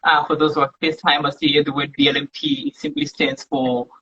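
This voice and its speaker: A woman's voice: low-pitched, flat delivery, a little fast, fairly bright tone. A woman from Zimbabwe, earnestly telling her story as a guest on a live video chat, often tagging "right?". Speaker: community organizer, guest. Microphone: phone or laptop mic on a live stream. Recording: dull lo-fi livestream audio.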